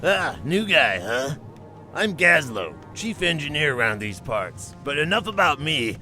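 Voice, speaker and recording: Goblin engineer, sharp, raspy, lively pitch, brash, chummy. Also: male voice, unhurried, soft background music, heavily acted. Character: fast-talking goblin chief engineer, brash.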